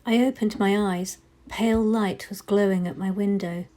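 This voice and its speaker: A woman narrating a story expressively, with dramatic, measured phrasing that is often hushed. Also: medium pitch, steady tone. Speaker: story reader. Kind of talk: expressive audiobook-style narration.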